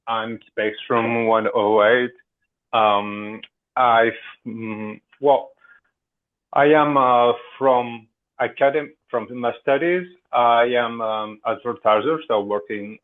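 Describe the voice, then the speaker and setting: A man's voice: mid-range voice, steady tone, takes it slowly. A man with an accent mixing French and Portuguese, chatting casually as a podcast guest, hesitant with many fillers. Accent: French and Portuguese mix. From France. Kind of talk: casual chat. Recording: tinny phone-like line.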